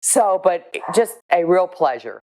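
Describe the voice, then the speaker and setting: An older woman with a low voice, a shelter volunteer speaking earnestly and firmly about her work in casual talk to camera. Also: sing-song, a little mellow. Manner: earnest, deliberate, firm. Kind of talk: casual spoken account to camera, showing photos.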